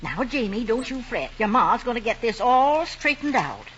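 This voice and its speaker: A woman's voice: a little high, very animated, moderate pace, a bit bright, soft background music. An actress playing a stern, exasperated farm mother with a Southern American accent and a plain-spoken, scolding manner, in old-time radio drama style. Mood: firm, determined. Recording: muffled, noisy old radio recording.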